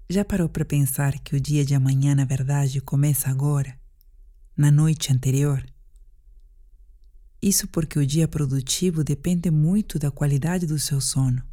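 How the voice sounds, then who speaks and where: Low, mellow Brazilian woman guiding meditation. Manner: calm, gentle, deliberate. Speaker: guided meditation narrator. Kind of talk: soothing guided meditation narration.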